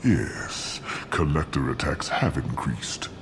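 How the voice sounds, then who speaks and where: A haughty old alien warlord in a sci-fi game. The voice is very low, and he delivers scornful, grandiose lines like pronouncements, over some ambient noise. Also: lively intonation, full character voice. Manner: grandiose, scornful, deliberate theatrical phrasing. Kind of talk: video game character dialogue.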